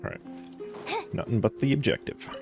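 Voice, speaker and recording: A man doing casual live game commentary, wry and joking as he thinks aloud. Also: fairly quick. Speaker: live streamer playing a game. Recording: game music behind. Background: game music.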